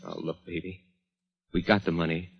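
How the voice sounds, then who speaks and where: An actor playing an evasive, defensive husband in 1940s radio drama style, hesitant and snappish. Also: male voice, low voice, little pitch movement, normal speed, gravelly, husky, exaggerated voice. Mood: uneasy, insistent. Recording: vintage radio broadcast with music.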